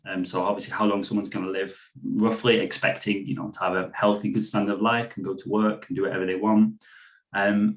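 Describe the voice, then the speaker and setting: A young man from Manchester speaks in a fairly low voice. He talks earnestly and loosely, leaning on fillers as he co-hosts a relaxed political chat. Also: low-quality recording. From Manchester, England. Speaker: podcast co-host. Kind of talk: casual political discussion.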